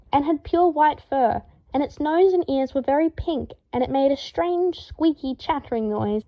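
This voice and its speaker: A woman reading a story aloud in a lively, expressive way, acting out the dialogue. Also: high-pitched, highs cut off. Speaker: fan fiction reader.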